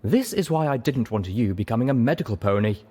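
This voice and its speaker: An exasperated adult mare in a storybook quarrel, voiced by a man. She scolds and huffs in a lively, argumentative way. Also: medium pitch, quick pace, close to an everyday voice. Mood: huffy. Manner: huffy, scolding. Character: two arguing adult pony mares, exasperated, scolding.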